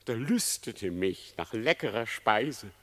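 A man's voice: on the low side, expressive, a bit bright, heavily acted. An actor in a radio play voicing a cunning condemned male fox who makes a solemn, declamatory plea to a crowd. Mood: pious confessing. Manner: declamatory, solemn, drawn-out public confession. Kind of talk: classic German radio play acting in verse. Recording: long hall reverb on the voice.

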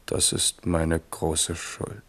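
An actor in a German radio drama playing a grieving, remorseful French king, speaking gravely and with measured, brooding weight. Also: sits low, flat delivery, fairly bright tone, full character voice. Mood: remorseful grief.

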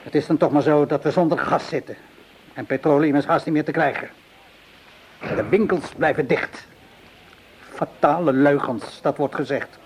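Man acting a wary, cynical tavern keeper, higher-pitched. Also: close to an everyday voice. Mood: scornful, sardonic. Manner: weary, sardonic, measured. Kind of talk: Dutch radio play acting. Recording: noisy old radio play recording.

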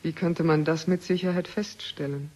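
An actress playing a principled, proud woman scientist, speaking in a measured, formal way in a radio drama. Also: sits low, steady tone, mellow. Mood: grave, troubled. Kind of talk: 1970 German radio drama acting.

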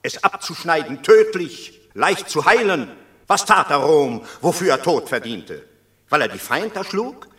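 An actor playing a persuasive senator urging calm in a radio drama. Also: male voice, a little high, measured pace, full character voice. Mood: pleading.

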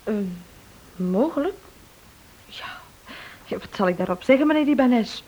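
An actress playing a detective's chatty wife, innocently evasive, in a Dutch radio play. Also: female voice, a little high, animated, normal speed, mellow, highs cut off. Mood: airily evasive.

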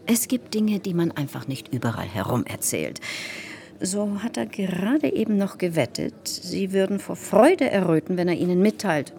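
Radio play: self-important society hostess, insinuating gossip, lowish female voice, big melodic swings, confiding and insistent. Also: very animated, moderate pace, mellow. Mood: confiding, reproachful. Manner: theatrical, confiding, insistent, with repetitions for emphasis. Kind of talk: radio drama acting.

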